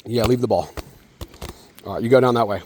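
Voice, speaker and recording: A man's voice: medium pitch, fairly quick, a little mellow. A man talking casually and playfully to his young child outdoors, in simple, encouraging phrases with frequent check-ins. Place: outdoors at a park in the rain. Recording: noisy outdoor home-video audio.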